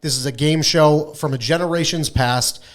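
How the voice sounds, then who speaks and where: A man's voice: fairly high pitch, moderate pace. A man co-hosting a podcast in casual, irreverent chat, brash and emphatic, swearing freely. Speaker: podcast co-host.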